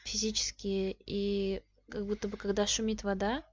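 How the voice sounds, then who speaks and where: A woman's voice: mid-range voice, monotone, moderate pace. A young woman co-hosting a podcast, talking in a chatty, hesitant way with many fillers. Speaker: podcast co-host. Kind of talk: casual chat. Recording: dull lo-fi podcast recording.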